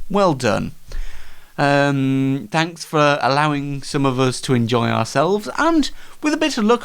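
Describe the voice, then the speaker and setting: Animated man hosting comedy show. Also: on the high side, smooth. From United Kingdom. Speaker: show host. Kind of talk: light comedic monologue.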